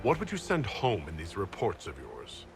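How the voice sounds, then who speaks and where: An actor playing a heroic leader in a fantasy video game, speaking in a measured, formal, probing way. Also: male voice, sits low, wildly swooping pitch, heavily acted. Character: male fantasy hero leader, measured and questioning. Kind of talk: video game character dialogue. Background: game music.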